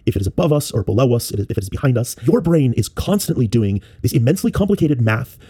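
A man who works as a musician explains experimental music in a measured, thoughtful way with pauses. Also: medium pitch, expressive, mellow, husky. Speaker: musician and composer. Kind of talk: explanatory talk about making experimental music.

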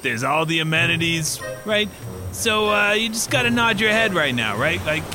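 Wheedling self-styled leader, male actor, higher-pitched, halting, very animated, audio drama. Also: close to an everyday voice. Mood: wheedling, pushy. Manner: halting, repetitive, tags questions with "right?". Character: shifty, wheedling working-stiff man, self-styled leader. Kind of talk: improvised audio drama dialogue. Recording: music behind voice.

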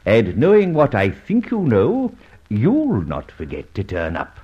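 Suave, swooping-voiced gentleman adventurer. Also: lowish voice, wildly swooping pitch, slight background noise. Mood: courteous, insistent. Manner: urbane, polite, teasing, deliberate hesitations. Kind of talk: old radio drama acting. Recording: dull vintage radio recording.

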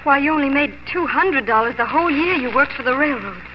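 Hopeful young frontier bride, high-pitched and wide-eyed, 1940s radio drama, muffled sound. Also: female voice, expressive, fairly quick, background noise. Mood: awed, hopeful. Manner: breathless, wide-eyed, fervent. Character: excitable, hopeful seventeen-year-old pioneer wife.

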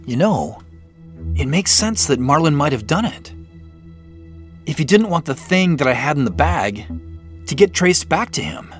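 A man's voice: on the high side, big pitch swings, unhurried, pauses often, faint music behind. A man playing a casual, probing detective in a naturalistic audio drama, dry and inquisitive. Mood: questioning. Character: dry, inquisitive male detective working a case.

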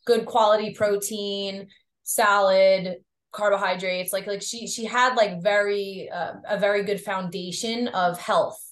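A woman's voice: medium pitch, fairly even intonation, measured pace. A woman from Long Island with a faint Long Island accent, chatting as a podcast guest and saying "like" a lot. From Long Island, New York. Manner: chatty, heavy use of "like". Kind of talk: casual interview chat. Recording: roomy echo.

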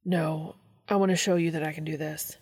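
A woman voicing an eager, desperate adult man in a romantic audio story, speaking quickly and pleadingly. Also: low-pitched, steady tone, a little mellow, breathy edge, no room sound, clean studio sound.

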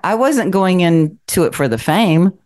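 A woman's voice: fairly low pitch, lively intonation, measured pace, darkish tone. A woman in her sixties from Kentucky, a guest telling stories in a chatty podcast conversation and laughing often. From Kentucky, Appalachian Mountains. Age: 60s. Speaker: guest, city council member. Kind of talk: casual chat.

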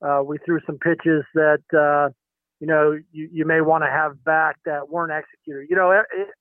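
A man's voice: fairly high pitch, level tone, no room sound. Man on a tinny phone line. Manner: halting, many fillers and restarts. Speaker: pitching coach. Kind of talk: phone interview.

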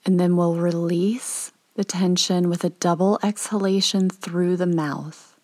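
A woman with a fairly low, soft voice guides a meditation. She speaks very slowly and calmly, leaving space between gentle instructions. Her tone is dark and relaxed, turning breathy at times. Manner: calm, gentle, soothing instruction with pauses.

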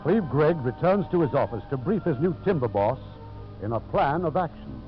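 A man's voice: fairly high pitch, expressive, normal speed, soft background music. A man giving scripted 1950s radio drama narration. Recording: muffled old radio recording.

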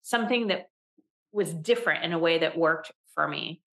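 A woman with a voice on the low side speaks candidly as a podcast guest. She is middle-aged, animated and laughs easily. She often restarts her sentences with repeated words. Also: moderate pace, vocal fry. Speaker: podcast guest, leadership coach. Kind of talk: conversational interview.

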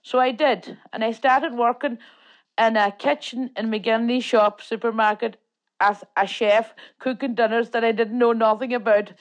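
A woman's voice: fairly high pitch, fairly even intonation, normal speed, dull audio. An older woman with a Donegal Irish accent, whose first language is Irish, telling stories of her childhood as an interviewee. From Tory Island, Ireland. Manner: plain storytelling, long chains of "and". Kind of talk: oral history reminiscence.

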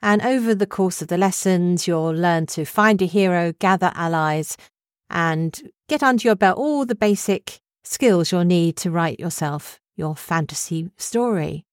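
A woman's voice: lowish voice, a little slow. A woman, speaking as a podcast host in a conversational style. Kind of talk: conversational podcast interview and promo.

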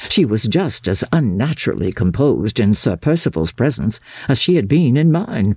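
A woman giving a formal, expressive and measured dramatic narration of a diary from a classic novel. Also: extremely low pitch, breathy edge, dry recording, clean studio sound. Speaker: audiobook narrator.

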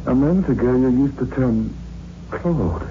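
Actor playing a anxious stubborn fiancé, animated swings in pitch, insistent, melodramatic, old radio horror, dull noisy recording. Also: male voice, a little slow, lightly acted. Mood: shaken, bewildered. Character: stubborn, anxious fiancé determined to find his bride.

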